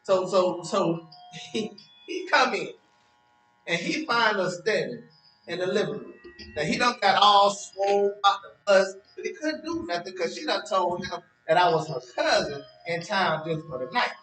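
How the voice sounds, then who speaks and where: An actor in a stage play as a teasing, boastful ladies' man who spins his tale with drawn-out drama and stammering hesitations. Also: high voice, lively intonation. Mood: gleeful storytelling. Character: teasing, evasive storyteller named Henry, boastful ladies' man. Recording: roomy, distant stage recording with music.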